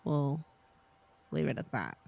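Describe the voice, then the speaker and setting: A woman co-hosting a podcast, speaking in an unhurried, measured way. Her voice sits a little low, and the recording is close and dry. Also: sing-song, creaky, a little mellow, no room sound. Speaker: podcast co-host. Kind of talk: casual podcast chat, reading a recipe.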